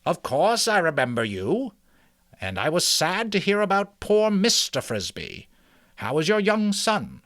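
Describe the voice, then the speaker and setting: An elderly mouse healer, acted out by a man for a storybook reading. The voice is lifted well up and swings widely in pitch. He is precise, authoritative and doctorly, stressing his instructions. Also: wildly swooping pitch, a little slow, a bit bright, no room sound, clean studio sound, full character voice. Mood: kindly, grave. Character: very old wise male mouse healer, authoritative. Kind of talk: dramatic storybook character reading.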